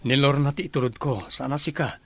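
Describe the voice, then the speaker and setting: A man's voice: mid-range voice, fairly quick, breathy edge. Plain-spoken young Ilocano suitor man acting. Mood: firm. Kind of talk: Ilocano radio comedy drama acting.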